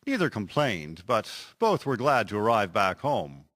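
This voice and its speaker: A man's voice: on the low side, very animated, a little slow. A man narrating a radio drama as a scripted storyteller. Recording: music prominent behind the voice. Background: loud music.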